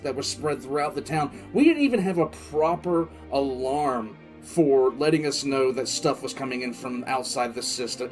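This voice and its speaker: A man playing a stern, battle-weary military commissar, telling his story in a confiding, dramatic first-person audio drama style with pauses. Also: mid-range voice, close to an everyday voice. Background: music bed.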